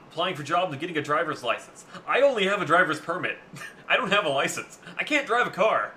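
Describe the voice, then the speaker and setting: A young man with a booming voice, rambling through a casual story as a streamer, swearing freely with lots of fillers. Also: sharp tone, slight background noise.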